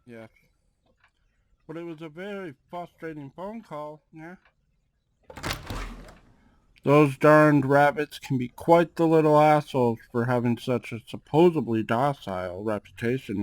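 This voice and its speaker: A man's voice: mid-range voice, no echo. A man playing a casual cat-person boss in an ASMR roleplay, often tagging his sentences with a questioning "yeah". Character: laid-back male cat-person gang boss, grumbly, courteous.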